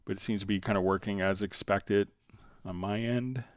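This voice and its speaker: A man hosting a live software tutorial, answering viewer questions casually and thinking aloud in a halting way as he demonstrates. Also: lowish voice, fairly even intonation, dry recording, studio quality.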